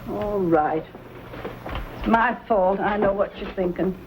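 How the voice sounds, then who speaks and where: An actress playing a worried, pious middle-aged frontier mother in a Western drama, gently moralizing. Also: medium pitch, takes it slowly, close to an everyday voice. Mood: concerned. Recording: dull old soundtrack with faint noise.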